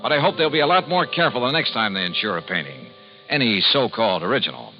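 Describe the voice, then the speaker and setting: An actor with an American accent playing a wry, self-assured hardboiled insurance investigator in a 1950s radio detective drama. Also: male voice, on the low side, very animated, fast, faint music behind. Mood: musing, wry. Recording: muffled, hissy old radio recording.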